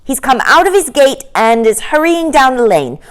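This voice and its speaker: Woman as an excitable little boy, high voice, animated pitch, breathless exclamations, children's storybook. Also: normal speed. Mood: excited. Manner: breathless exclamations, eager reporting.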